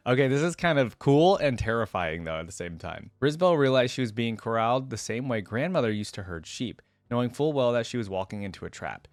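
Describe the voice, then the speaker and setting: A man's voice: mid-range voice, animated, brisk, hardly any pauses, no echo, clean studio sound. A man hosting a reaction video, reading a story aloud with casual commentary.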